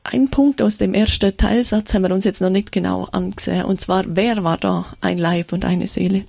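A woman preaching in a reflective, unhurried way, with a gravelly edge to her voice. She keeps stopping to restart her sentences and fills the gaps with small hesitations. The sound is dry and close. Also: mid-range voice, fairly even intonation, darkish tone, no room sound. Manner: thoughtful, with frequent fillers and restarts. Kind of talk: sermon.

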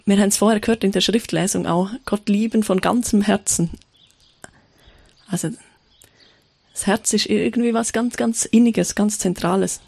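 A woman preaching in a reflective, unhurried way, with a gravelly edge to her voice. She keeps stopping to restart her sentences and fills the gaps with small hesitations. The sound is dry and close. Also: medium pitch, steady tone, darkish tone, no room sound. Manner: thoughtful, with frequent fillers and restarts. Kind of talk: sermon.

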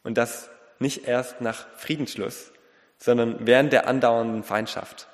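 A man delivering a sermon in an earnest, deliberate way, in short phrases with pauses and a slight lisp. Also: medium pitch, steady tone. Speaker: preacher. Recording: long reverberant hall.

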